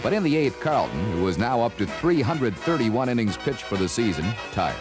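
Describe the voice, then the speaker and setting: A man's voice: mid-range voice, very animated, normal speed. A man reading a scripted sports season documentary as narrator, with a dramatic storytelling delivery. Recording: music behind, old vinyl record. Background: music.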